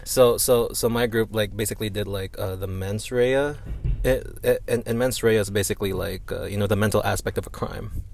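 A man's voice: on the low side, fairly quick, gravelly, darkish tone, a little hiss or hum. A young man with a Filipino accent, a criminology student chatting casually, rambling with lots of fillers and restarts. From Philippines. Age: young adult. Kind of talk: casual chat.

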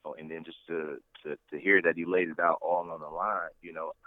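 A man with a very deep, low voice comes through a thin, tinny phone line. He talks in a rambling, preacherly way, full of fillers and restarts, and the sound is close and dry with no room around it. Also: flat delivery, fairly quick, no room sound. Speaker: interview guest. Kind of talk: casual interview talk.

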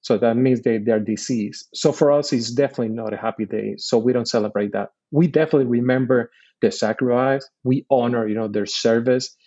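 A man's voice: medium pitch, a little fast, dark tone, dull audio. Earnest man from Puerto Rico. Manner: earnest, explains patiently with many "you know" fillers. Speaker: podcast guest, Army veteran. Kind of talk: casual podcast conversation.

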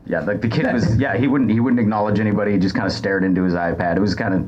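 An American man telling stories on a comedy podcast in a sardonic, halting, casual way. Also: on the low side, fairly even intonation, darkish tone, lo-fi sound. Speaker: podcast guest storyteller. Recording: live crowd in background.

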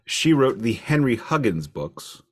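A man's voice: mid-range voice, very animated, measured pace. A man with an American accent chatting casually about music as a podcast co-host, in a wry, jokey manner. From United States. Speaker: podcast co-host reviewing albums. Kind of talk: casual music review chat.

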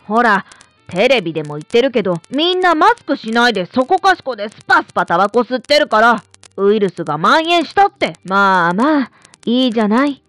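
Woman acting a lively, teasing housewife mother. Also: fairly high pitch, fairly quick, dry recording, faint music behind. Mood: placating. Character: chatty, teasing, placating Showa-era housewife and mother. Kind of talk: radio drama acting.

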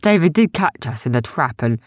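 A woman voicing a small, excitable, easily spooked male creature who speaks in childlike, ungrammatical phrases. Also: rumbling low, brisk, no echo, studio quality. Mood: earnest.

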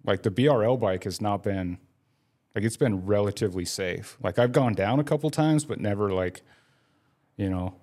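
A man's voice: fairly low pitch, normal speed, a little mellow. Rambling man in his forties. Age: 40s. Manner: rambling, heavy use of "like" fillers. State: laid up with a broken leg. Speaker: podcast guest. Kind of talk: casual storytelling.

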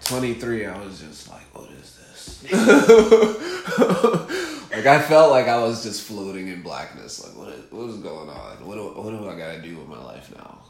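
A man's voice: on the low side, huge pitch swings, unhurried, creaky, echoey room. A man in his twenties chatting casually as a co-host, joking and laughing often. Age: 20s. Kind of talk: casual chat.

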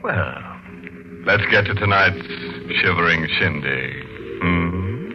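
An actor playing a gleefully morbid, mock-genial horror host in 1940s radio style, with theatrical delivery. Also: male voice, sits low, lively intonation, takes it slowly. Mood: sly. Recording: dull old radio recording, music behind.

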